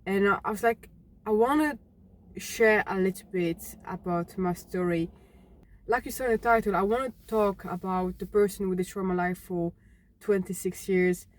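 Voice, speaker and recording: A young woman from Belgium, telling her own story unscripted to camera in a halting, earnest way, often restarting words. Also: mid-range voice. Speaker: fitness vlogger.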